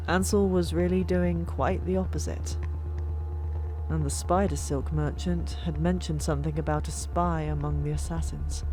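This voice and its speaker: A woman reading a fantasy novel as an audiobook narrator, expressive and dramatic, with hushed asides and pauses. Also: low voice. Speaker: narrator of a fan-made audiobook. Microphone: old microphone. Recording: music bed, older microphone. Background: background music.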